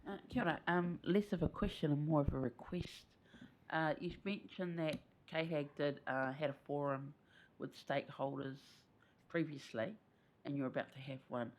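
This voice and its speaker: A woman's voice: low-pitched, steady tone, moderate pace, highs cut off. A woman speaking as a committee member, making a direct and polite request. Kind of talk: council meeting discussion.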